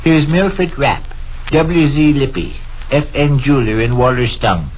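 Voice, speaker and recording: A man's voice: mid-range voice, moderate pace, close to an everyday voice. A man playing an exasperated, wordy, stuffy American bookkeeper husband in a 1930s radio comedy. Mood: exasperated, pompous. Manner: pompous, wordy, indignant. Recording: dull, noisy 1930s radio recording.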